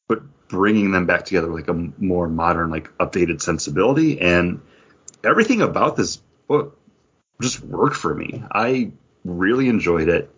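A man with a fairly low, rough-edged voice talks as a podcast co-host. He rambles casually and enthusiastically, often correcting himself. The audio is a bit dull and lo-fi. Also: fairly bright tone. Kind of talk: casual chat reviewing comic books.

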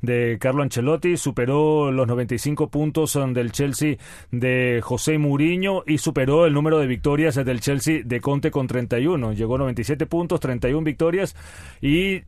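A man commenting on football, stacking up numbers and facts and pausing with frequent fillers. His voice is mid-pitched and the recording is dry and close. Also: darkish tone, dry recording. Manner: fact-heavy, hesitant fillers. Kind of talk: sports radio talk.

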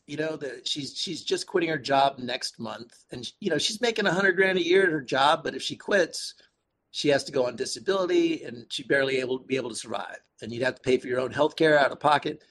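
A man speaking casually and earnestly as an interview guest, thinking aloud in a halting way. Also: medium pitch, a bit bright. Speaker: podcast guest, activist and author. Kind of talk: casual conversational interview answers.

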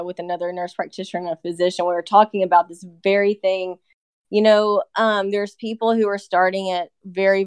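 A woman in her late thirties talks through treatments as a podcast guest, filling her sentences with "you know" and "like". Her voice is smooth and clear, recorded close and dry with no room sound. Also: lowish voice, no echo. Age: 30s. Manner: chatty, explains with many fillers and "you know". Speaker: nurse practitioner guest. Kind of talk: casual podcast interview.

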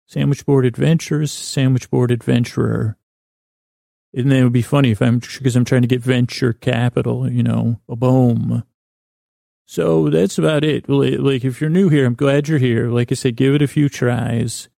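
A man with a creaky, gravelly voice hosting a bedtime podcast, rambling in a halting, meandering way with stammered tangents and pauses to lull listeners to sleep. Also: medium pitch, steady tone, dark tone, no echo, studio quality.

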